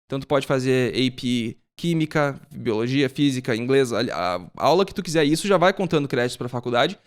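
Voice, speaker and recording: A man's voice: mid-range voice, lively intonation, fairly quick, smooth. A young man from Brazil, a podcast guest explaining things in casual chat and often ending with a tag question.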